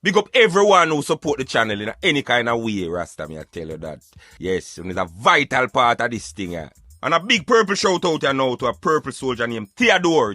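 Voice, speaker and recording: A Jamaican man hosting a casual talk show in patois, animated and emphatic as he addresses his listeners. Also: mid-range voice, no room sound, studio quality. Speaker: radio show host.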